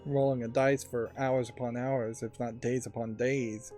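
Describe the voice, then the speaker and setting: A man giving casual, analytical gameplay commentary as a let's play host, musing in a measured way. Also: medium pitch, flat delivery, dark tone. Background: game music.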